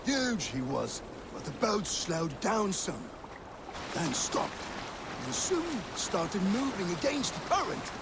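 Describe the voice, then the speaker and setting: An actor playing a seasoned, boastful Viking warrior telling a theatrical tale in a game. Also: male voice, sits high, slow pace, heavily acted. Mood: dramatic yarn-spinning. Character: seasoned male Viking warrior spinning boastful sea yarns. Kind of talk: dramatic oral storytelling. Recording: steady water and boat noise behind. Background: boat on water.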